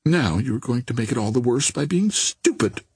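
A man's voice: medium pitch, wildly swooping pitch, moderate pace, darkish tone, slightly rough, dry recording, studio quality, pitched above the performer's own voice, full character voice. A man voicing a petulant, easily alarmed young society woman in an audiobook. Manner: sweetly sarcastic, pleading, exclaiming.

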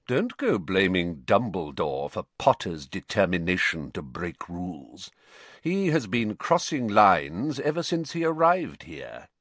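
A cold professor full of quiet malice, acted by a male narrator. He speaks softly and slowly in a low sneer, like a storybook antagonist. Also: huge pitch swings, no room sound, exaggerated voice. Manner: soft, slow, sneering.

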